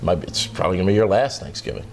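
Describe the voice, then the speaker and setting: A man's voice: expressive, racing, slight background noise. A man hosting a wrestling talk show, running through the news and needling his co-host. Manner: teasing, roasts his co-host, pitches the show to viewers.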